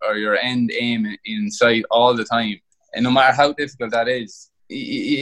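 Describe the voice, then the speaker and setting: Young irish guy chatting loosely in an interview, keeps repeating words as he goes, average pitch. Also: a bit bright, rough recording. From Ireland. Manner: casual, rambling, restarts words often. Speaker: footballer abroad. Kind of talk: interview over a call.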